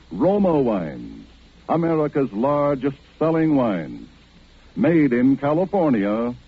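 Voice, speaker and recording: A man reading a scripted sponsor message as a 1940s radio announcer, with a husky voice on a dull broadcast recording. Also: mid-range voice.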